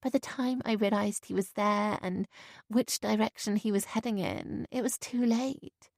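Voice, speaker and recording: A subdued mother speaking softly and slowly, weighed down with guilt. A woman reads her in a close, dry audiobook voice. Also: mid-range voice, gravelly, no room sound, lightly acted. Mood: guilty. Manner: quiet, subdued, apologetic. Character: soft-spoken guilt-ridden adult woman, mother.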